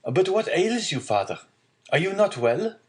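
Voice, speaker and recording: A man's voice: high voice, very animated, unhurried, voice pushed up. An eager young seafarer and loving son from a classic storybook, acted out by a man reading aloud. His voice is lifted well above the narration, with an earnest, urgent delivery full of exclamation. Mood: excited, hopeful.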